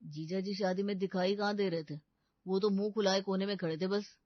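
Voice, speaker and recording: A man playing a dance-crazy young groom in an animated comedy story, pleading in a whiny way and easily swayed. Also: high-pitched, fairly quick, no echo, lightly acted. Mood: doubtful. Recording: dull, lo-fi studio voice-over.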